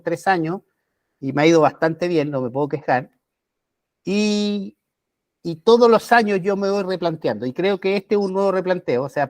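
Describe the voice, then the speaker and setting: Higher-voiced Chilean man, dry sound. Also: darkish tone, dry recording. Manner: halting, restarts words. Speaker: podcast co-host. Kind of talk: casual chat.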